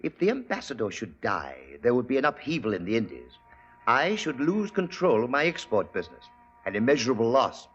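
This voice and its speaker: A muffled vintage radio recording holds a suave merchant with menace under his courtesy. His medium-pitched voice swoops dramatically. He speaks in deliberate, grandiose phrases. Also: big pitch swings, slightly rough. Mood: polite menace. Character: suave, menacing male spice exporter, slightly hard of hearing. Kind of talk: old-time radio drama acting. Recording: dull, band-limited old radio recording.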